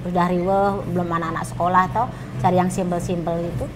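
A woman's voice: fairly low pitch, level tone, fast talker, over music, low-quality recording. A woman in her forties, a guest who sells home-made food, chatting casually on a studio set, repeating her words. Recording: TV studio with clear background noise.

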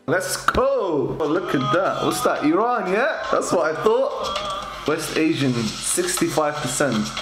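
A man in his twenties chatting casually and excitedly to camera, often chuckling as he talks. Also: fairly high pitch, unhurried. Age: 20s. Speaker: participant in a DNA test video. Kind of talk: casual reaction talk to camera. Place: small bare room, indoors, at a desk. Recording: roomy echo, faint background music.

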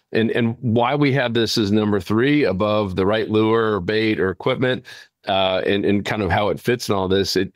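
A man's voice: fairly low pitch, no echo, studio quality. A man hosting a podcast, talking casually and explaining his points with emphasis. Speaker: podcast host. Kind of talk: casual podcast talk about fishing.

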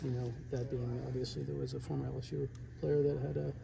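A man's voice: medium pitch, little pitch movement, quick pace, gravelly, background noise. A man reporter asking pressing, probing questions. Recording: crowd chatter behind.

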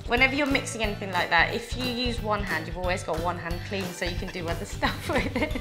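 A woman's voice: medium pitch, expressive, fast. A British woman chatting in a friendly, encouraging way as she cooks and hosts in a kitchen. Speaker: cook and host. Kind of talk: casual cooking chat. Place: home-style kitchen, indoors. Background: music, sizzling, pans and dishes.

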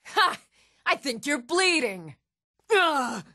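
A woman's voice: sits high, very animated, slow, exaggerated voice. A woman playing a fierce, taunting female warrior in a fantasy video game, throwing out battle cries and threats. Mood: aggressive. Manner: shouted taunts, grunts and threats. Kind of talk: fantasy game combat barks.

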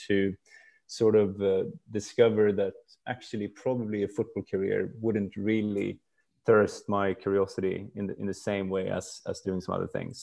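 Low-voiced man, reflective podcast guest. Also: vocal fry, warm tone. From Stockholm, Sweden. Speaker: podcast guest, brand executive. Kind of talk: casual interview talk.

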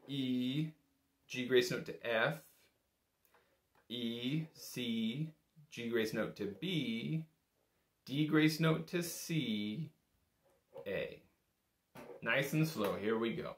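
A man's voice: mid-range voice, very slow, pauses often. Casual male music instructor, roomy sound. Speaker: bagpipe instructor. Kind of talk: casual step-by-step music lesson. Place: small indoor home workroom. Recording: roomy, echoing small-room sound.

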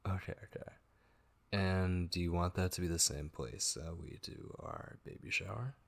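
A man playing a tender, devoted young gamer boyfriend in an ASMR roleplay, speaking softly, intimately and hesitantly with a husky voice. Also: deep voice, lively intonation, normal speed, heavily acted. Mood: casual, attentive. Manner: soft, hesitant, intimate, with murmured asides. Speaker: ASMR voice actor.

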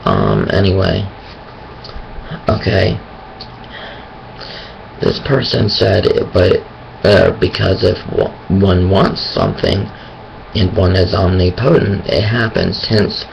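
Slow, gravelly low-voiced man. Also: expressive, muffled recording, background noise. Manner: hesitant, thinking aloud with many pauses and restarts. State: raspy voice. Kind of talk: casual monologue on faith.

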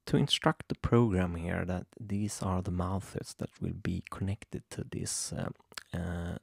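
A young man from Sweden with a Swedish accent, hesitantly walking through a software tutorial at his computer, with many ums and restarts. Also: low voice, measured pace, gravelly, slightly rough, dry recording, studio quality. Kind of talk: screen-recorded software tutorial. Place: home room at a computer.

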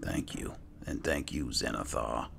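A man's voice: extremely low pitch, a little slow, gravelly. A man narrating his roleplay gameplay, musing aloud in a hesitant mutter that often trails off. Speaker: gaming host roleplaying his character. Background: music.

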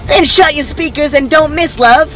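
A man's voice: extremely high pitch, normal speed, pushed and bright, faint music behind, voice pushed up. A man voicing a brash, bossy female adventurer in a story, sassy and exclaiming.

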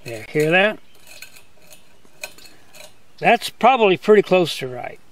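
An older man giving a relaxed, step-by-step outdoor demonstration as a sawmill instructor, talking in a plain, easygoing way. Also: high-pitched, slow pace, slight background noise. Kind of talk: hands-on demonstration. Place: outdoors on a grassy lawn.

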